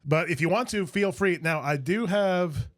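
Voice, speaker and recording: A man's voice: sits high, normal speed. A man hosting a podcast interview, friendly and enthusiastic, with many fillers and restarts. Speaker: podcast host. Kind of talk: casual interview.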